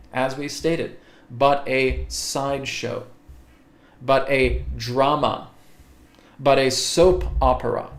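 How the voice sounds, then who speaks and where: A man hosting a live spiritual webcast, answering viewer questions slowly and deliberately and often checking in with "okay?". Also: mid-range voice. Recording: echoey room.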